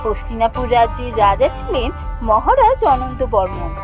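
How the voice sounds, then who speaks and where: A woman's voice: fairly high pitch, very animated, moderate pace. A woman giving a scripted fairy-tale narration for a cartoon. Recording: music behind the voice. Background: background music.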